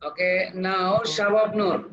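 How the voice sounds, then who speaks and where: A man's voice: high-pitched, level tone, slow pace. A man with a Bangladeshi accent, a teacher moderating an online discussion and introducing the speakers. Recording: echoey, muffled, noisy call.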